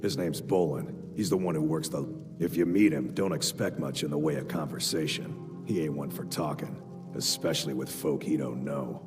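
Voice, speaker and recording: An actor playing a plain-spoken rustic Nord war veteran in a video game, with folksy grammar. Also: male voice, rumbling low, moderate pace. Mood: gruff, matter-of-fact. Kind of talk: video game character dialogue. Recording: long hall reverb, music behind.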